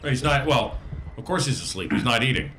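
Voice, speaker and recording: A man playing a tabletop role-playing game, chatting casually and haltingly, often repeating his words. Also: mid-range voice, animated, very noisy.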